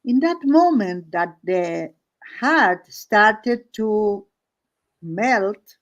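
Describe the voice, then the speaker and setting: A woman who is not a native English speaker tells an improvised story casually, halting phrase by phrase with searching pauses. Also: mid-range voice, expressive, highs cut off. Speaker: host of an art and story stream.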